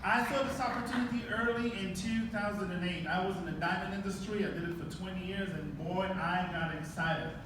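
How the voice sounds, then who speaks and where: A man from Israel giving an excited, heartfelt testimonial speech. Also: sits high. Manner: excited, heartfelt, slightly halting. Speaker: speaker at a business event. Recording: echoey hall, distant mic, crowd noise.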